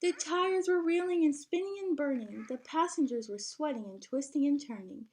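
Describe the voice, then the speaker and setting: A woman with a high-pitched voice reads to children like a teacher. Her delivery is expressive and animated, made for a picture book, and she guides her young listeners through it with simple prompts. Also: moderate pace. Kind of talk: expressive children's read-aloud with questions to listeners.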